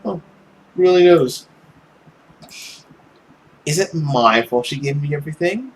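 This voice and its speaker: A man voicing a smug, scornful nobleman villain in a visual novel, drawling and sarcastic with theatrical pauses. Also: fairly high pitch, lively intonation, slight background noise, voice pushed down, poor audio. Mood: smug, indifferent. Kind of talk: visual novel character acting.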